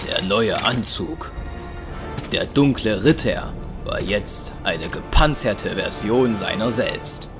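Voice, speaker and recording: Male narrator, scripted audio drama, lowish pitch, music underneath. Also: animated, slow pace. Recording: clear music behind.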